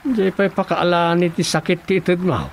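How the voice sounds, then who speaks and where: A man's voice: high-pitched, flat delivery, moderate pace, creaky. A man with an Ilocano accent playing an easygoing, grumbling village husband in a radio comedy drama, on a noisy recording. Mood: grumbling banter. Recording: noisy recording with background ambience.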